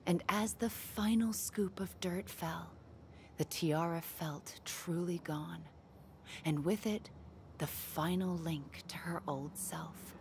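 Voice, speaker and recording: Soft-spoken melancholy mom, lowish voice, measured storybook delivery for a narrative game, faint ambience. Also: female voice. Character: tender, melancholy mother of young children. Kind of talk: reading a bedtime story, dramatic game acting. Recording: background noise and room ambience.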